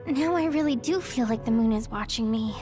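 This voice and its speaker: Woman acting as perky teen girl, very high voice, informal, expressive, video game, music behind. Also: fairly quick, lightly acted. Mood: curious. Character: perky, candid, easily startled teenage girl.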